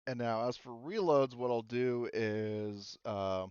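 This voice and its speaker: Crisp-voiced man running a tabletop game. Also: medium pitch, measured pace, a little mellow, dry recording, muffled recording. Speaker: game master. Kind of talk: casual online tabletop game session.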